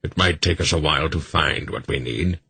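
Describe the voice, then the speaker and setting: A commanding old sorcerer, voiced by a man reading a fantasy audiobook, with a deep, rough-edged voice. He is terse, practical and matter-of-fact. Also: normal speed, bright, forceful tone, dry recording, full character voice. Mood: purposeful.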